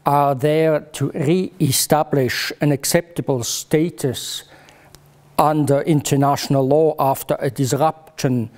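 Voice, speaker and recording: Slow, halting man presenting. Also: medium pitch, warm tone. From Switzerland. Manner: halting, hesitant delivery with repeated false starts. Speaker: former military advisor, conference speaker. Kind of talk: prepared conference presentation.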